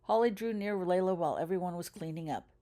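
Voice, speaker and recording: A woman's voice: on the low side, fast, warm tone. A woman narrating a story as an author reading their own fiction, in a scripted audiobook style.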